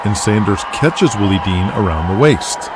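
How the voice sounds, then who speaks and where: A man's voice: fairly low pitch, animated, normal speed, over a noisy crowd. A man reading scripted narration for an audio drama. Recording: faint background noise.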